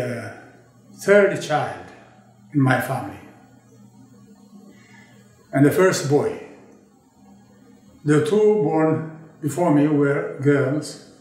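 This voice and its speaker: A man in his eighties from Ethiopia, slowly and thoughtfully recalling his childhood in an oral history interview. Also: a little high. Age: 80s. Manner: slow, measured, reflective, with pauses and restarts. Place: indoor studio with a draped backdrop. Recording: very echoey room, voice from a distance.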